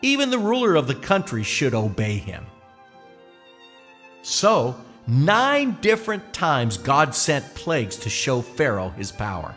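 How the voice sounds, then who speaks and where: A man playing a patient, gentle but firm Israelite father in a children's puppet show, explaining things deliberately like a bedtime storyteller. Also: mid-range voice, huge pitch swings. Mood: storytelling. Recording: long hall reverb, music underneath.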